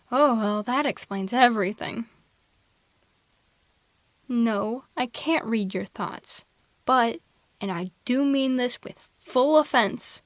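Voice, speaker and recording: Casting: female performer as mocking telepath supervillainess for roleplay audio, higher-pitched, theatrical and measured, secretly tender, very dry close sound. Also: no room sound, close to an everyday voice. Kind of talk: scripted ASMR roleplay audio drama.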